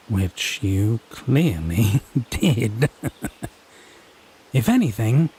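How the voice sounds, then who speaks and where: Smug, tipsy male fae lover played by a man, very slow sardonic drawl with swooping pitch, for a teasing audio roleplay. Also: a little mellow, slightly rough, heavily acted. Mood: amused, condescending. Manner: drawling, mocking, sardonic, pet names. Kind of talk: slow theatrical audio roleplay monologue addressed to listener.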